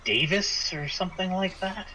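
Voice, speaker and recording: A frightened teenager in hiding, acted by a man at a tabletop game. The kid speaks haltingly, repeating and stumbling over words in a hushed, low tone. Faint noise sits behind the voice. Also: close to an everyday voice. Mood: scared, hesitant. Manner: halting, stammering.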